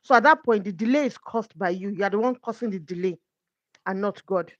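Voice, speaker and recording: A woman's voice: medium pitch, a little fast, dry recording. A woman talks as a guest coach, earnest and careful, working through her points with examples. Her voice sounds dry and a little dull, with no room around it. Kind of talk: conversational faith talk.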